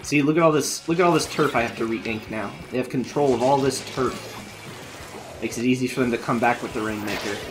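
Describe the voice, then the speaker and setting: A man live-streaming a video game, chatting casually with viewers while he plays, his voice going hoarse from a long session. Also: mid-range voice, fairly quick, music in the background, poor audio. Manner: chatty, thinking aloud while playing. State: losing his voice.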